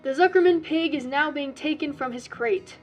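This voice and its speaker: Woman putting on a pompous fair announcer, raised pitch, formal, booming. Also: normal speed, soft background music, lightly acted.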